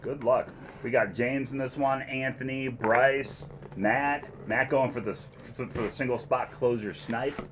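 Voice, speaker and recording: A man's voice: mid-range voice, fairly even intonation, unhurried, fairly bright tone, slight background noise. A man hosting a live memorabilia break, upbeat and casual, reading chat comments aloud. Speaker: host of memorabilia box-break stream.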